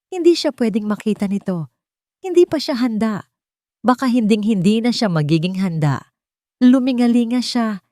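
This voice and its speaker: A woman narrates a scripted romance story in short, suspenseful, deliberate phrases. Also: mid-range voice, warm tone, no echo, studio quality. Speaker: narrator of romance audio stories.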